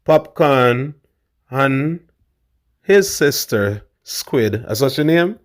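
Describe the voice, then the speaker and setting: A man's voice: mid-range voice, animated, extremely slow. Big-voiced man hosting a vlog. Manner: loud, hype, calls viewers fam. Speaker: host. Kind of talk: casual commentary vlog.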